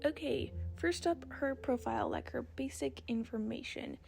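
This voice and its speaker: Young woman rambling, slightly high creaky voice. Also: wildly swooping pitch, normal speed, a little mellow. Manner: rambling, self-deprecating. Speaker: video creator and artist. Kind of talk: casual chatty voice-over commentary. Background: background music.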